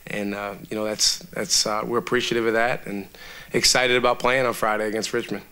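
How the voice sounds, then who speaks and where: A man in his thirties, a college basketball coach, answering reporters at a post-game press conference in a steady, measured way with many fillers, on a table microphone. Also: fairly low pitch, clean tone, poor audio. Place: press room before a sponsor backdrop.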